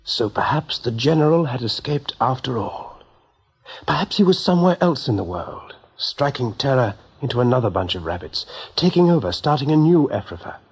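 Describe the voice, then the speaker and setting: A man giving expressive, measured narration for a radio drama, with a husky voice. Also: medium pitch. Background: soft music.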